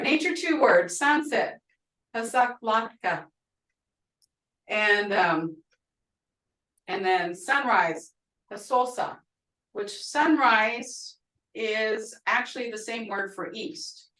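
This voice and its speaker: A woman with an Oklahoma twang teaching a language class over a video call, chatty and quick to laugh. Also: mid-range voice, fairly bright tone. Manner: chatty, laughing often, storytelling asides. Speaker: language instructor. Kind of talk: casual online class teaching. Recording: roomy, distant video-call audio.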